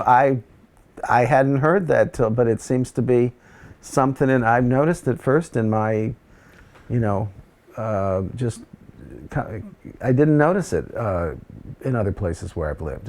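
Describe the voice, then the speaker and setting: A man's voice: medium pitch, a little mellow. A man in casual conversation, rambling and hesitant with frequent fillers, whose first language is Dutch.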